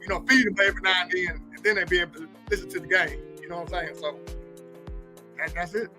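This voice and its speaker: A man's voice: high-pitched, fast talker. A male pastor talking casually about faith in an informal, colloquial, preacherly manner. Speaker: pastor, guest co-host. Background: music.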